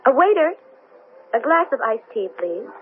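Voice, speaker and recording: A woman's voice: high voice, sing-song, moderate pace. A woman playing a determined, wry, weary astronaut in a 1970s radio drama, with emphatic theatrical delivery. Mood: wry, hurt. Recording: noisy lo-fi 1970s radio broadcast.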